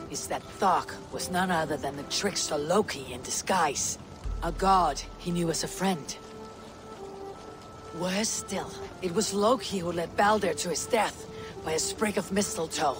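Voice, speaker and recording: A woman playing a stoic, weathered Viking warrior in a game, speaking in a measured, grave and formal way. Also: lowish voice, over music, natural voice. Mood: solemn storytelling. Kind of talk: dramatic game cutscene acting, storytelling.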